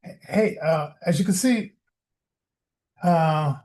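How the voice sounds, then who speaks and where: Halting, higher-voiced man hosting a casual livestream. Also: a little mellow. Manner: halting, repeats words, chuckles often. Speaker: online radio show host. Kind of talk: casual rambling livestream talk.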